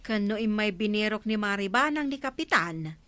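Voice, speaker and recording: A woman with an Ilocano accent playing a gossipy, lively village neighbour in an audio drama. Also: medium pitch, moderate pace, no room sound, studio quality, close to an everyday voice. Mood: curious.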